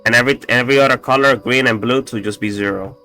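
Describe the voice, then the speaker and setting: A man casually walking through his code, halting often with fillers and restarts, with a slightly raspy voice and the odd swear. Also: mid-range voice, bright, forceful tone, soft background music, low-quality recording. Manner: halting, full of fillers and restarts, profane asides. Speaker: coding tutorial host. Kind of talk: casual screen-recorded coding walkthrough.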